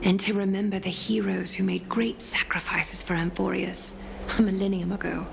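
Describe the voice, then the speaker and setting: An actress playing an ageless, dignified demigod lady in a video game, speaking in a measured, formal, coolly disdainful way. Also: medium pitch, flat delivery, gravelly, a little hiss or hum, poor audio. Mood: solemn, cold. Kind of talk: dramatic video game voice acting.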